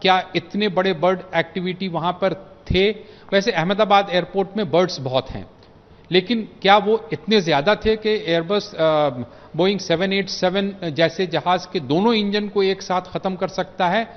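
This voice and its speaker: A man's voice: high voice, moderate pace. An Indian-accented man giving unscripted expert commentary, hesitant and switching between two languages as he goes. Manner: hesitant, mixing Hindi and English. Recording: muffled audio in a reverberant room.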